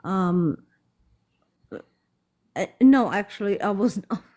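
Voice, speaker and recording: A woman's voice: medium pitch, animated, slow, mellow. A woman from Germany, a guest on a live stream, chatting in an easygoing, friendly way. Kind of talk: casual chat.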